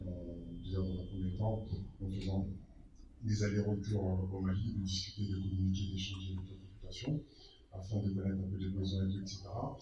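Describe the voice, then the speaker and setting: A man, a municipal councillor, speaking at a meeting in a measured, hesitant way with many fillers. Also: low-pitched, little pitch movement, lo-fi sound. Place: meeting hall. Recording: distant, roomy, noisy meeting room.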